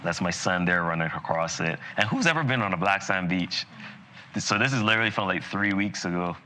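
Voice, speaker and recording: A man from Barbados giving a live continuing-education lecture on dentistry, talking in a relaxed, conversational way with plenty of fillers and asides. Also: sits low, a little fast, slight background noise. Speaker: dental lecturer.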